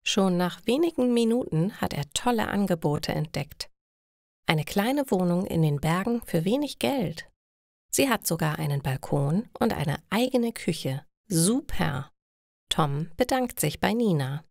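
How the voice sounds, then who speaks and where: Female story narrator for language learners, slightly low register, gravelly edge, clear simple delivery. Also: animated, a little slow, a little mellow, no echo, clean studio sound.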